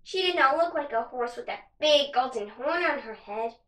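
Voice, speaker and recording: A woman giving an expressive read-aloud narration of a children's story. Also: high-pitched, moderate pace. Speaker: storybook reader, actor. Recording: roomy, some distance from mic.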